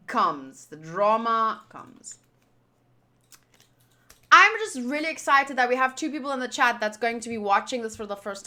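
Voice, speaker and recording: A woman with a high, very bright voice hosts a game stream. She talks casually to her chat, animated and playful, and likes to tease. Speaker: streamer hosting a game playthrough. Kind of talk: casual livestream chat to viewers.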